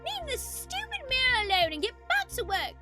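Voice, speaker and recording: A woman's voice: extremely high pitch, huge pitch swings, fairly quick, loud music behind the voice, pitched above the performer's own voice, full character voice. A woman voicing a brash, impatient young female thief in a story game, snappy and bossy. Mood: irritated.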